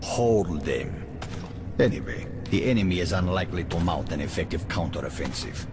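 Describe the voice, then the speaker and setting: A man's voice: deep voice, expressive, normal speed, background noise. An actor playing a stern general briefing his soldiers in a commanding tone. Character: stern male army general giving orders. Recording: game cutscene with loud music.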